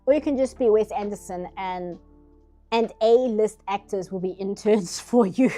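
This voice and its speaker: A woman's voice: medium pitch, moderate pace, mellow, faint music behind. A nasal-sounding woman streaming herself painting, chatting casually in rambling asides. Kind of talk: casual chat while drawing.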